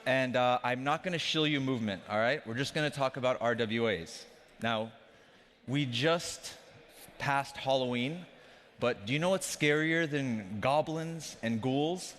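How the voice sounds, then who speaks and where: A young man from Afghanistan talks on stage in a large conference hall. His voice sits at a medium pitch, and a stage mic carries it into a long hall reverb. He keeps the talk casual and joking, turning to the crowd directly. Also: measured pace, a bit bright. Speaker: conference speaker, company CEO.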